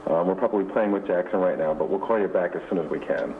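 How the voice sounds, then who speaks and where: A man with a lowish voice giving a home answering machine greeting. The recording is dull and muffled, like a lo-fi machine tape. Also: fast, a crowd in the background.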